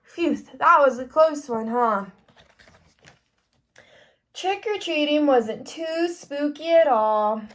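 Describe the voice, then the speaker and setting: A woman teaching kindergarten through an interactive picture-book read-aloud, expressive, pausing to ask questions and explain. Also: high-pitched.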